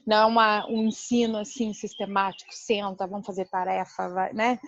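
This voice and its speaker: A woman interviewing a guest on a video call, making soft, affirming follow-up remarks. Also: mid-range voice, little pitch movement, moderate pace, no room sound, lo-fi sound. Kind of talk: casual interview conversation.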